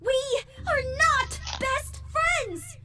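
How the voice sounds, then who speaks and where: A woman's voice: very high voice, very slow, distant mic, full character voice. A woman voicing an exasperated, suspicious young female pony princess in a cartoon, with an emphatic, indignant, girlish delivery. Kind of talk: animated cartoon fan drama. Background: background music.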